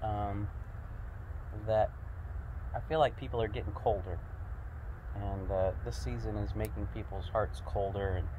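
A middle-aged man, a pastor giving a relaxed devotional talk in a snowy backyard, with many fillers, recorded by a far camera. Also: lowish voice, measured pace, pauses often, lo-fi sound. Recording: faint outdoor noise.

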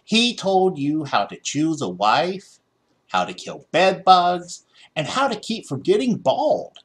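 A man's voice: a little high, wildly swooping pitch, takes it slowly. A middle-aged American man narrating a children's story expressively. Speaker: storybook reader.

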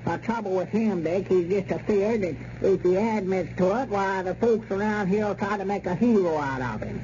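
An actor playing an excitable old small-town man with a rural Southern American accent, folksy and insistent, in 1930s radio comedy style. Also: sits high, normal speed, close to an everyday voice. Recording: dull, noisy old radio recording.

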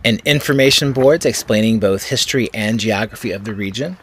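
A man with a low voice reads a measured, scripted voice-over. There is faint noise under the narration. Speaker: history YouTuber guest.

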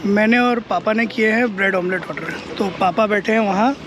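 A man's voice: high voice, fairly quick, noisy background. Chatty teasing vlogger man. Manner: chatty, teasing, laughs often. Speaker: travel vlogger. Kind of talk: casual family travel vlog. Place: roadside stall, car and family home.

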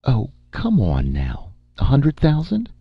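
A cool, unflappable young woman, as a male narrator puts her on, coaxing and pushy in a husky, gravelly voice. Her pitch rides lively and high above his own. The sound is dull and lo-fi. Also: slow pace, dry recording, exaggerated voice. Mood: cool, persuasive. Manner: calm, coaxing, sly. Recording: dull lo-fi recording.

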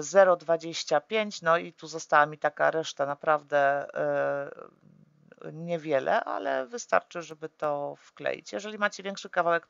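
A woman calmly walking through a papercraft tutorial step by step, often pausing with drawn-out fillers as she works. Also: low voice, gravelly, no room sound, muffled recording. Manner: hesitant, full of drawn-out "e" fillers while working; calm, practical instructing.

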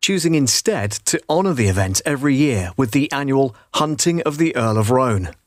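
A middle-aged man reading scripted voice-over narration for a promotional video in a measured storytelling way. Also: mid-range voice, expressive, no echo, studio quality. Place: voice-over booth. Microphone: close booth microphone.

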